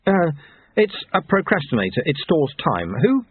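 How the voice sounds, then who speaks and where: A naive young apprentice as a male narrator plays him, hesitant, polite and impatient. His audiobook voice is pitched up and urgent, with a thin telephone-like sound. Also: huge pitch swings, normal speed, slightly rough, no room sound, heavily acted. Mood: flustered, urgent. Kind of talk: audiobook character voice. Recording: narrow tinny phone-like sound.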